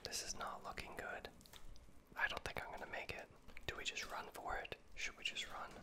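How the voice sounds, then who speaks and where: Anxious whispering man playing a game. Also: brisk. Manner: soft whisper, anxious self-coaching, repeats words when panicking. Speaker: gaming video creator. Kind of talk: whispered ASMR gameplay commentary.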